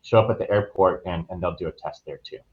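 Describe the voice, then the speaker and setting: A man's voice: low voice, quick pace, lo-fi sound, crowd noise. A man answering interview questions as a travel professional, explaining things in an informal, conversational way. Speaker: guest travel professional reviewing a resort.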